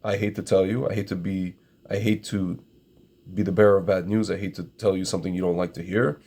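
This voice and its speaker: A man's voice: low voice, flat delivery, a little fast, a little mellow. A middle-aged man from Ukraine, a native Russian speaker, giving an opinionated monologue to his viewers, emphatic and repetitive, often calling them "my friends". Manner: emphatic, repeats phrases, addresses viewers as friends. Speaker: commentator.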